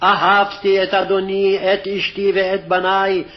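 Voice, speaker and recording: A man's voice: high voice, monotone, very fast. A man formally reciting scripture phrase by phrase, on a dull, lo-fi archival recording. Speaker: scripture reader. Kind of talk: scripted Hebrew Bible reading.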